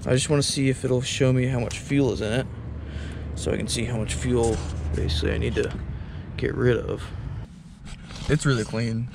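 A man's voice: medium pitch, normal speed, creaky, pauses often, background noise. A young man chatting casually while he works on an old car, joking and filling his talk with "like". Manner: relaxed, joking, lots of "like" fillers. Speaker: car restorer. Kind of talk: casual hands-on chat. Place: outdoor lot, then a garage workshop.

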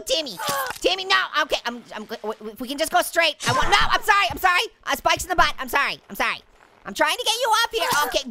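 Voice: high voice, noisy background. A performer voicing a cocky, joking talking orange who comments on a game, goofy and full of yelps. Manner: goofy, jokey, yelps and laughs. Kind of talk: cartoon character playing a game on camera.

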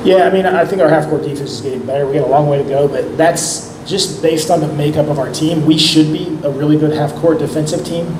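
A middle-aged man, a head coach, answering post-game questions in a measured way at a press conference table mic. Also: fairly high pitch, fairly even intonation. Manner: measured, repeats words when starting sentences. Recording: echoey room with background noise.

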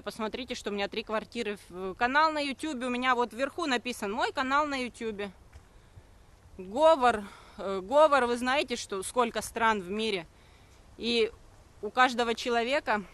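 A high-pitched woman in her thirties talks briskly into a phone held at arm's length as she walks outdoors. Her voice is bright and forceful, and she answers comments defensively, with frequent "uh"s. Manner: brisk, defensive, with many hesitations. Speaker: network marketing streamer. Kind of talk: live stream answering comments. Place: walking outdoors on a forest path.

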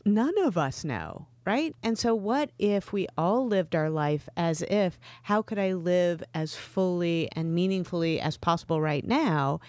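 Female podcast co-host, slightly low register, dry recording, casual explanatory talk. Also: a little mellow, no echo.

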